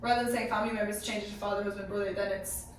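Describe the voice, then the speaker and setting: A woman chats casually as part of a panel, with a flat, even delivery. She is distant from the microphone in a very echoey room with clear noise. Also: mid-range voice, racing. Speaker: panel member. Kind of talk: casual team discussion.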